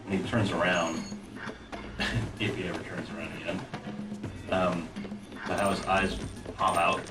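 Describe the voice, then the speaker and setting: A man giving casual developer commentary over gameplay, agreeable and quick to laugh, often echoing his partner. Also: low voice, flat delivery. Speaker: game developer. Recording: roomy, distant mic, game music behind.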